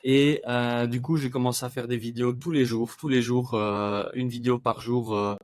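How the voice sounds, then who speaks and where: A man's voice: mid-range voice, level tone, moderate pace, darkish tone, no echo. Man in his 30s, German-native Belgian guest, rambling and hesitant, dry close sound. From German-speaking Belgium. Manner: rambling, many hesitations, laughs often. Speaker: podcast guest, video creator. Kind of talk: casual interview chat.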